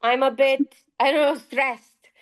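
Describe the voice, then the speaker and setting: Woman teacher, slow-paced, hesitant, many restarts, online video call. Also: high-pitched, fairly even intonation. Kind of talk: panel discussion answer.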